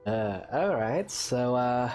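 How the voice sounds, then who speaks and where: A man's voice: medium pitch, lively intonation, slow, a little mellow, soft background music. A man hosting a game playthrough, reading dialogue choices and reacting in an offhand, hesitant way. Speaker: gaming video host.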